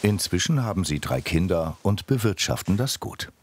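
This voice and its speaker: A man narrates a documentary from a script in a very deep voice that moves freely up and down. His delivery is measured and factual. A clear layer of noise sits beneath the voice-over. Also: very animated.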